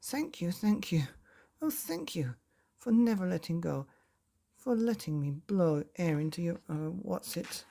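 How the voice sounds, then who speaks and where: A man voicing an excitable, hapless father in a children's storybook, gushing and exclamatory. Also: high-pitched, a little slow, creaky, pitched above the performer's own voice, full character voice. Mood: elated, grateful.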